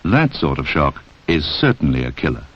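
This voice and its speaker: Low-voiced narrator, old film sound. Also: male voice, sing-song, moderate pace, slight background noise. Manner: direct, addresses the listener, rhetorical questions. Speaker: narrator of a public information film. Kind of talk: 1960s instructional film narration, scripted. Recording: dull band-limited 1960s film soundtrack.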